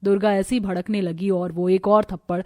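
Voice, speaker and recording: A woman's voice: mid-range voice, fairly even intonation, brisk, a little mellow. A woman narrating a scripted cartoon moral story.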